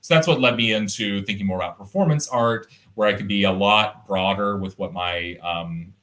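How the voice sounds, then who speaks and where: A young man speaks over a video call, his deep, resonant voice carrying a bright, forward edge. His pitch sits a bit low, and he rambles thoughtfully, restarting sentences and using lots of fillers. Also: moderate pace. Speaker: artist guest. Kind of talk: casual conversational interview.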